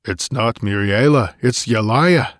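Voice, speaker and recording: Male narrator as a barbarian warrior, gruff, curt, commanding, hard-bitten, dry close sound, fantasy adventure audiobook. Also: mid-range voice, unhurried, no echo, close to an everyday voice. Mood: shocked muttering. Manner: grunting, curt, acid.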